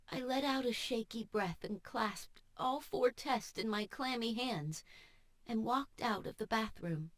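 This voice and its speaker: A woman audiobook narrator reading first-person fiction in an expressive, emotive and deliberate way. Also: on the high side, no echo. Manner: emotive, measured, deliberate delivery. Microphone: studio voice-over microphone.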